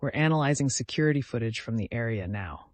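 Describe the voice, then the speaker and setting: A man's voice: medium pitch, animated, fast, no room sound, voice pushed down, lightly acted. Man reading as a terse, grim woman detective. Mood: grim businesslike. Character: grim, businesslike female police detective.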